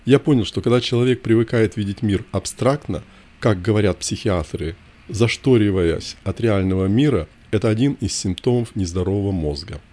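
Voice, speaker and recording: A man narrating a scripted first-person memoir in short, measured, declarative sentences. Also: on the low side, mellow.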